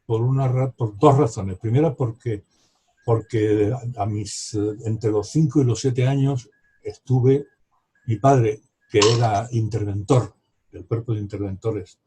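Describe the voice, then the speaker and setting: A man's voice: mid-range voice, fairly even intonation, unhurried, mellow, slight background noise, poor audio. A man in his seventies, from Morocco, who speaks as a novelist guest at a book club over a video call. He talks in long, rambling turns, restarting sentences and trailing into asides. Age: 70s. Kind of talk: casual reflective conversation.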